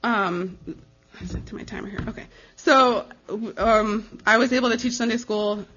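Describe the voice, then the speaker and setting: A young American woman speaking as a missionary, giving an informal slide presentation in a conversational, earnest and halting way. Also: fairly high pitch, fairly bright tone. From United States. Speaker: missionary giving a ministry report. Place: live talk before an audience. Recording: dull, slightly lo-fi recording.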